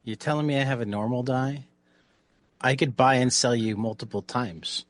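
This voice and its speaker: A man's voice: medium pitch, normal speed, dry recording, studio quality, close to an everyday voice. A man playing a boastful self-styled genius who is also an insecure, stammering fool in a tabletop game. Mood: indignant boasting. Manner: indignant, stammering, self-deprecating.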